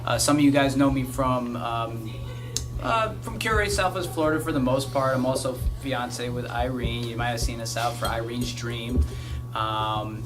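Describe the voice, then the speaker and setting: A man with a mid-range voice hosts a podcast, teasing his guests and prompting them to talk in a relaxed, casual chat. Music plays clearly in the background. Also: medium pitch, moderate pace. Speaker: podcast host. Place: kava bar.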